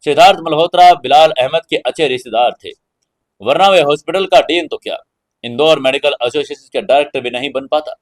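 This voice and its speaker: A man's voice: a little high, a little fast. A man hosting and narrating an audio story in a dramatic storytelling style.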